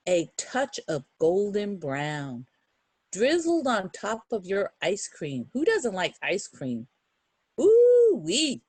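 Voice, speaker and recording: A woman reads a children's picture book aloud in a lively, swooping voice. She takes her time and breaks off for encouraging asides and questions to young listeners. The sound is close and dry. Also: mid-range voice, no echo. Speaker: children's storybook reader.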